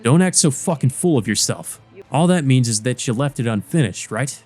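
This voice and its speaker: A brash, foul-mouthed enforcer from a visual novel, voiced by a man talks with crude swagger. The voice is mid-pitched, aggressive and mocking, over game music. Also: expressive, moderate pace, darkish tone, lightly acted.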